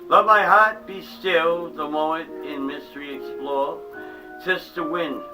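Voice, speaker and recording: A man's voice: a little high, steady tone, measured pace. Man reading a poem theatrically over eerie music. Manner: dramatic, hushed drops in volume, stumbles and restarts. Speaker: reader of a poem. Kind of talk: theatrical dramatic poetry reading. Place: small home museum room. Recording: distant mic with eerie music behind.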